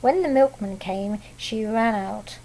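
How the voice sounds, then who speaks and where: A woman reading a picture book aloud to young children, pausing to explain things simply and ask them questions. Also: medium pitch, mellow, rough recording. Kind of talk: read-aloud picture book storytelling with asides.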